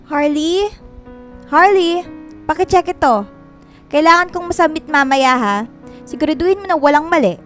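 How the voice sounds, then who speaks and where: Bossy snooty office villain lady, high voice, demanding, radio drama. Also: normal speed, long pauses, fairly bright tone, soft background music. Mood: imperious. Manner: haughty, demanding. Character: bossy, credit-stealing female office superior.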